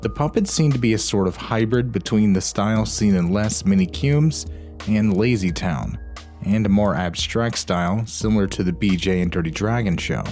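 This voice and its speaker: A man's voice: on the low side, animated, normal speed, darkish tone, soft background music. A man giving scripted narration for a countdown video.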